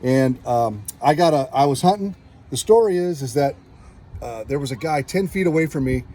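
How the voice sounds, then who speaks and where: A man's voice: medium pitch, expressive, moderate pace, mellow. An American man from California telling a casual, rambling hobby story and acting out what people said. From California, United States. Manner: rambling, acts out quoted exchanges. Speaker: metal detecting hobbyist telling his story.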